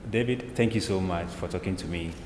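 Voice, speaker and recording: A man's voice: deep voice, fairly quick, background noise. A man hosting a conversational interview, asking questions and responding to his guest. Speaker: interview host. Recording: echoey hall, long reverb.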